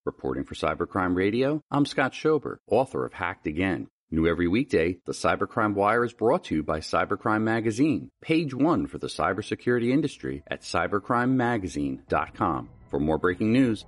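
A man's voice: sits low, big pitch swings, normal speed, hardly any pauses, no echo. Man reading a radio news brief. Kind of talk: scripted news bulletin.

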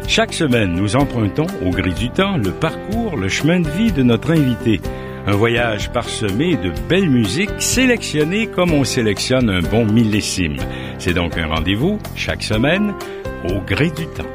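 Radio dude plugging his show, very animated pitch, guitar underneath. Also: moderate pace. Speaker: radio host. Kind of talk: station promo. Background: guitar music.

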